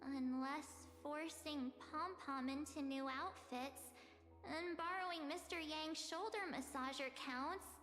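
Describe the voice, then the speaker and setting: A woman's voice: high voice, slow pace, a bit bright. An actress voicing a bubbly, confused teenage girl adventurer in a video game, hesitant and playfully exclamatory. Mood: confused, flustered. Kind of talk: animated video game voice acting. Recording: echoey, reverberant game audio with faint music.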